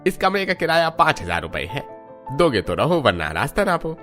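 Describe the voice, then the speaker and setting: A man's voice: fairly high pitch, wildly swooping pitch, normal speed, over music. A man playing a greedy, heartless landlord in a cartoon story, brash, taunting and bullying. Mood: scornful, demanding. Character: greedy, heartless male landlord relative, loud and scornful. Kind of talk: Hindi cartoon story voice acting.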